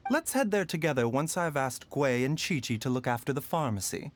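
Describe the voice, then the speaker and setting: An actor playing a composed, courteous male doctor in a video game, with polite and measured formal speech. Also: mid-range voice, expressive, a little hiss or hum, close to an everyday voice. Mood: composed, thoughtful.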